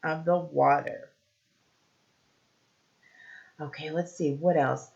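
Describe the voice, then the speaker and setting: A woman teacher leading a read-aloud lesson for young children, asking simple questions, pausing for answers and encouraging them. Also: sits low, fairly even intonation, creaky, a little mellow, lo-fi sound.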